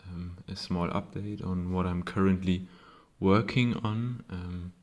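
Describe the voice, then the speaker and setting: Slow, low-voiced German man. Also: fairly even intonation, a little mellow. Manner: hesitant, many ums and self-corrections. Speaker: maker showing his circuit board. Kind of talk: casual show-and-tell.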